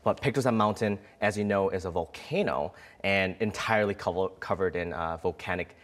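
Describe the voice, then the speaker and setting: Male news reporter, lower voice, measured explainer in live discussion. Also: a bit bright.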